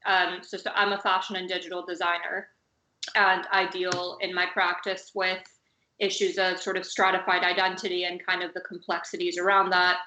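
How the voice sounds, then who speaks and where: A woman's voice: lowish voice, flat delivery, normal speed, bright, forceful tone, roomy sound, low-quality recording. A woman from California, a fashion designer hosting a casual live-streamed conversation, hedging with many fillers. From California, United States. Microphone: video call.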